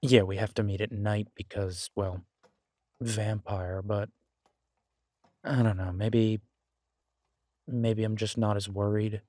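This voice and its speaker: Man playing an easygoing, low-voiced werewolf boyfriend. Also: flat delivery, slow pace, long pauses, mellow, no echo, clean studio sound. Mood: reassuring, casual. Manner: casual, hesitant, rambling. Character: easygoing male werewolf biker, affectionate, bashful partner. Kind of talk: intimate roleplay audio drama, one-sided conversation.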